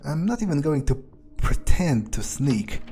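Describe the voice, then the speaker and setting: Man playing a mellow, cruel vampire. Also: on the high side, sing-song, faint music behind. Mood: musing, callous. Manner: deliberate, musing, condescending, with hesitant pauses. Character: cruel, arrogant male vampire, coolly menacing, entitled. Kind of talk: in-character video game roleplay monologue.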